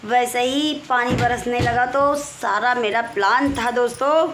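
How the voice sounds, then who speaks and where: A hoarse woman in her forties with a Kanpur Hindi accent, voice fairly high and husky, talks on and on into a phone held close. She is in a small room at home and clears her throat often, with faint noise behind her. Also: fairly even intonation, unhurried. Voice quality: husky, strained voice. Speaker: vlogger. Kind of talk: casual home vlog. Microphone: phone camera held close, selfie framing.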